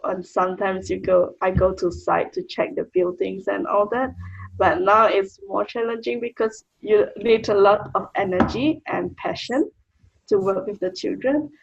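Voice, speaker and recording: A woman's voice: mid-range voice, vocal fry, husky, lo-fi sound. A young woman from Malaysia with a Malaysian accent, Mandarin her first language, chatting plainly as an interview guest about her work with children. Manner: plain, chatty, with short restarts. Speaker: guest, early childhood educator. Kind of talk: casual interview answers.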